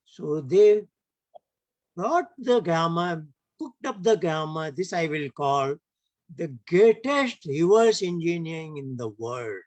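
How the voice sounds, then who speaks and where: A man's voice: fairly high pitch, sing-song, takes it slowly, no room sound. A man with an Indian English accent giving an online lecture, emphatic and often closing points with rhetorical tag questions. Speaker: lecturer at an online heritage camp.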